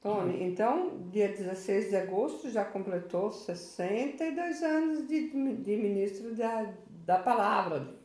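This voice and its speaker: A woman's voice: medium pitch, animated, a little slow, few pauses, darkish tone, echoey room. A woman interviewing a guest informally, prompting him often and repeating his name. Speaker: interviewer, local history host.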